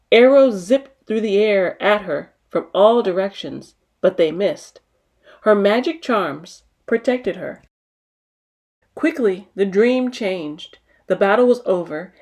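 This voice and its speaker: A woman's voice: mid-range voice, darkish tone. A woman narrating a children's picture book as a storytime host, reading expressively.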